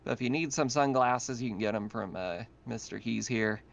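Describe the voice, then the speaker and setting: A man's voice: medium pitch, normal speed, vocal fry, close to an everyday voice. A man voicing a casual, matter-of-fact colony guide showing newcomers around in a tabletop game.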